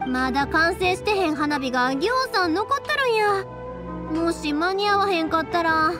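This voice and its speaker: Cheerful young festival craftswoman, Kansai accent, bright friendly chatter, high-pitched and animated, video game. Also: normal speed, loud music behind the voice. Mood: pleading, eager. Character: cheerful, outgoing young woman who makes fireworks.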